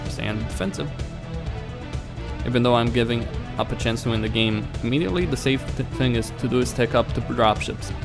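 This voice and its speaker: A man narrates a visual novel as a let's play host. His pitch sits in an ordinary male range. He reads aloud with occasional stumbles, and music plays clearly behind him. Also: brisk. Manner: stumbles over words while reading. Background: background music.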